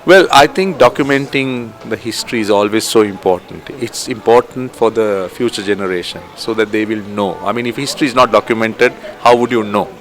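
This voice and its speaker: Low-voiced earnest male official. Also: a bit bright. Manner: earnest, measured. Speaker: cricket official. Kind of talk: interview comment.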